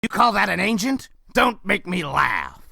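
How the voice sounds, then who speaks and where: A man voicing a short, fat, ugly demon clown in a comic dub, sarcastic, whiny and sneering with a strained rasp. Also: high voice, very animated, a little slow, bright, forceful tone, no echo, clean studio sound, full character voice. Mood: defiant, sneering. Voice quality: raspy, strained. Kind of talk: comic dub villain acting.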